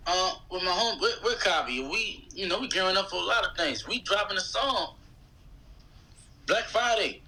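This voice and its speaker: A man from Philadelphia talks as a podcast guest in a slangy, informal way. His voice is very bright and forward, pitched a little high. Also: low-quality recording. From Philadelphia, United States. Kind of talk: casual chat.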